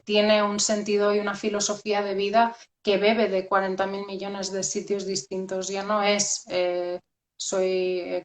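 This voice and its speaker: Flat-voiced woman musing on astrology. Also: mid-range voice. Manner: hesitant, many fillers and restarts. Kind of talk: reflective conversational talk.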